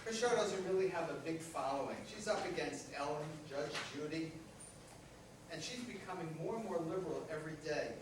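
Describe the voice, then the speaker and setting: A man's voice: fairly high pitch, animated, brisk, far from the mic, lightly acted. A man playing a defensive, self-important aging superhero who is out of work, in a staged comedy play reading. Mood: grumbling. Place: echoey room at a live staged reading. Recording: very echoey hall from afar, faint noise.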